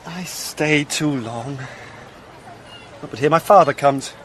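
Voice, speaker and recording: An actor playing an affectionate, protective young nobleman, courteous and measured, in classical Shakespearean drama. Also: on the high side, animated, slight background noise. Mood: fond, cautioning. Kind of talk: classical Shakespearean stage-style acting.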